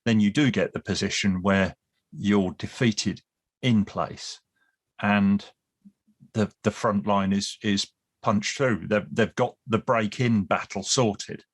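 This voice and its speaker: Brief: male guest expert, lowish voice, talks in long explanatory runs with restarts and dry asides. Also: steady tone, slow, breathy edge. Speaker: guest expert on Cold War British Army. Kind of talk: casual podcast discussion.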